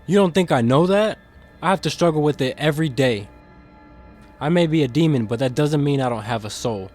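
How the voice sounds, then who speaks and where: A man's voice: on the high side, lively intonation, brisk, a little mellow, faint music behind. A man playing a guarded, conscience-stricken demon in an audio drama, earnest and defensive in manner. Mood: defensive, anguished. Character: guarded, conscience-stricken demon insider smuggling secrets to captive.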